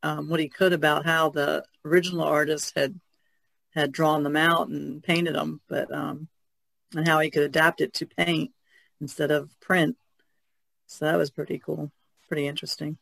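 A man with a somewhat high voice and a noticeable creak chats casually on a podcast. He sounds tentative and rambles as he goes. The recording is dry and free of echo. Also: little pitch movement, normal speed, fairly bright tone. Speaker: podcast panelist. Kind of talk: casual chat.

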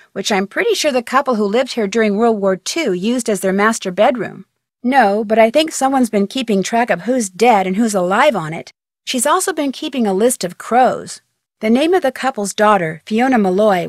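A young woman with an American accent playing a chatty, curious, level-headed amateur detective in a video game, speaking conversationally with clear diction. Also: on the high side, dry recording, studio quality. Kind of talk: video game voice acting, conversational phone-call dialogue.

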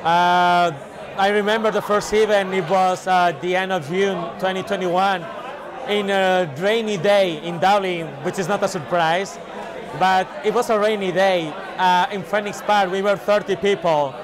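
A man with a Spanish accent, a native Spanish speaker, giving casual, halting interview answers as an event organiser. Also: high voice, fairly even intonation. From Spain. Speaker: meetup organiser. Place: large busy indoor venue. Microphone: clip-on lapel. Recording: busy crowd chatter behind.

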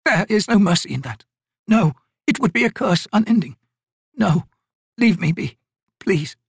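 Brief: ancient wisewoman, grieving and bitter, ragged high voice, breathy, dry booth. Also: animated, a little slow, no room sound, full character voice. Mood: despairing. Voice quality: cracked, ragged.

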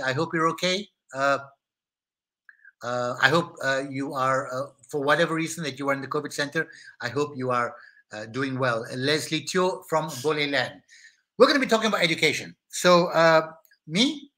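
A middle-aged Malaysian man with a Malaysian accent, hosting a live online talk show and chatting energetically with viewers in a small studio. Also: a little high. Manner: energetic and hyper, greeting viewers by name. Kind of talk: casual live-stream chat with viewers. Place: small indoor studio set with brick wall.

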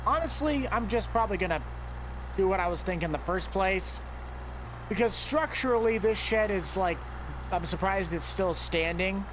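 A man giving casual, chatty commentary over his gameplay, talking straight to his viewers with excitable outbursts. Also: high voice, slight background noise, rough recording. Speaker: gameplay video host.

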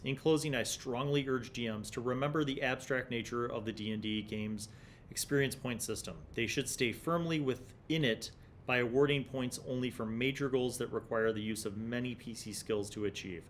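Male review host, 40s, mid-pitched, emphatic and enthusiastic, reads aloud and comments casually, faint rumble underneath. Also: a bit bright. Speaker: host of a tabletop gaming magazine review series. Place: small indoor studio room. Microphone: desk microphone close in front.

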